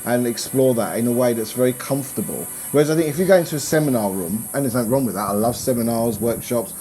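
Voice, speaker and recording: A man's voice: mid-range voice, fast, faint music behind. Rambling male business coach. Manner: rambling, restarts often, animated when making a point. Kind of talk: casual conversation.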